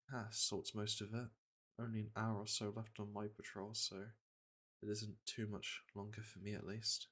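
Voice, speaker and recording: A man playing a caring veteran monster hunter in a soft-spoken ASMR fantasy roleplay, with a reassuring, hesitant manner. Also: on the low side, full character voice. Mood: weary, muttering. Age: middle-aged. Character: veteran monster hunter, gruff but caring male patroller. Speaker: roleplay voice actor.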